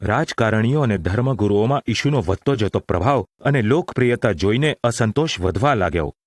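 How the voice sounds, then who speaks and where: A man's voice: lowish voice, lively intonation, brisk. A man reading scripted narration for a Bible audio drama.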